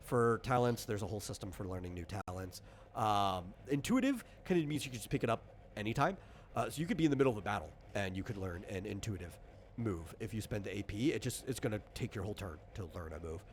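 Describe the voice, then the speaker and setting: A man's voice: on the low side, lively intonation, fast talker, slight background noise. A man working as a game master, casually explaining tabletop RPG rules in an informal, conversational way.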